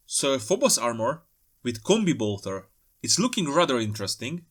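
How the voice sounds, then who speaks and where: A man's voice: medium pitch, a bit bright. A man giving casual, playful commentary as the host of a hobby video, with jokey asides. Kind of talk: casual scripted-feel commentary on trailer pictures.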